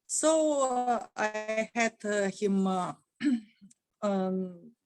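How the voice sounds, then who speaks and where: A middle-aged woman with a Bulgarian accent answers an interview question at a medium pitch. She talks in a halting way and fills her pauses with frequent "uh"s. Her sentences often stall and start again. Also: a little mellow, no room sound. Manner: halting, many "uh" fillers and restarts.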